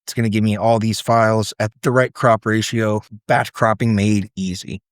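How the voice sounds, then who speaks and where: A man's voice: lowish voice, little pitch movement, moderate pace, no room sound, clean studio sound. A man giving a casual screen-share tutorial, explaining things informally step by step. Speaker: presenter demonstrating self-built apps.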